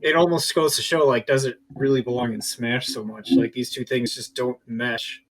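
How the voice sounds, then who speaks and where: A man with a medium-pitched voice chats casually as a co-host on a gaming podcast. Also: fairly even intonation, moderate pace, low-quality recording.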